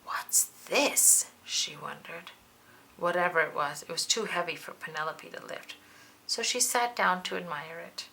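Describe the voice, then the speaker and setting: A woman's voice: lowish voice, normal speed, creaky, pushed and bright. A woman reading a children's storybook aloud, narrating expressively and dramatically. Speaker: children's book reader.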